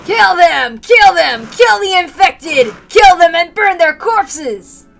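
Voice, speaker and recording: A woman voicing a frenzied, bloodthirsty young rioter shouting in a horror story reading. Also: extremely high pitch, expressive, slow, creaky, faint music behind, pitched above the performer's own voice, heavily acted. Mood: frenzied rage. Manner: loud, shouting chant.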